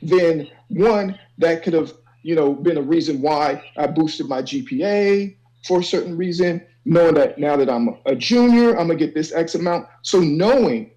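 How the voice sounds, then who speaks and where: A man's voice: on the high side, lively intonation, moderate pace, few pauses, dark tone, dull audio. A man speaking as a guest advisor, explaining things informally and instructively, often checking that listeners follow. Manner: instructive, checks understanding with "okay" and "you know". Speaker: college financial aid advisor, guest. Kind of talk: informal explanatory panel talk.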